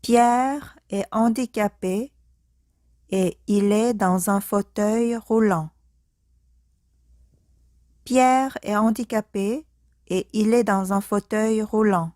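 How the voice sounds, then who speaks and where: A woman teacher leads a speaking drill, pronouncing each short phrase slowly and clearly before repeating the full sentence. Her voice sits at an ordinary middle pitch. The recording is close and dry, with no room sound. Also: a little mellow, dry recording. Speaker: French language teacher. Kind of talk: slow speaking-practice drill.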